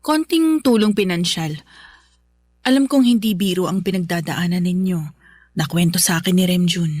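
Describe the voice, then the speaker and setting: A woman's voice: lowish voice, normal speed, darkish tone, no echo, natural voice. Chatty, coaxing neighbor lady, close dry sound, acted. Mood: kindly coaxing. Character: Tita Nemya, generous middle-aged neighbor lady, reconciling. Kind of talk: Filipino radio drama acting.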